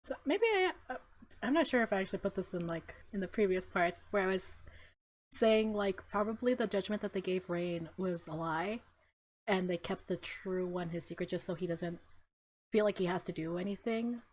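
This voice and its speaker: A woman's voice: mid-range voice, expressive, normal speed. A woman hosting a let's play, reading visual novel narration aloud with casual commentary. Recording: music clearly audible behind voice. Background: game music.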